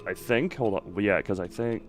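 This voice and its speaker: A man giving casual live game commentary, halting and wry as he thinks aloud. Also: fairly low pitch. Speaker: game streamer. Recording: faint game music behind.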